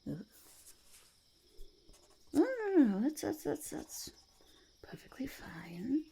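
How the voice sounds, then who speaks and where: A woman voicing an eccentric, self-important goblin in a whispered ASMR roleplay, breathy with creaky edges, fussy, hesitant and repetitive. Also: a little high, very animated, slow pace, dark tone, full character voice. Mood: flustered, placating. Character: eccentric, self-important goblin overlord interviewing a would-be sidekick.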